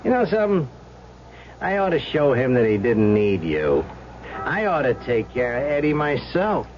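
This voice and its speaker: An actor playing a cocky mob underling, taunting and boastful, in an old radio crime drama. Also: male voice, medium pitch, big pitch swings, normal speed, lightly acted. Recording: muffled, hissy old radio recording.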